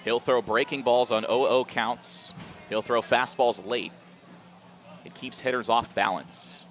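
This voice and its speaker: A man calls a baseball game live on the radio in a bright, forceful voice of medium pitch. He works from an outdoor ballpark, with faint music somewhere behind him. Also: fairly even intonation, a little slow. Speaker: baseball radio play-by-play announcer. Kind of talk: live sports commentary.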